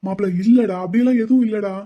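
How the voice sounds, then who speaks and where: A defensive brother-in-law, played by a man in an audio drama, caught in a family quarrel. He pleads in a high voice and talks fast. He keeps trying to explain himself. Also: fairly even intonation, dull audio, close to an everyday voice.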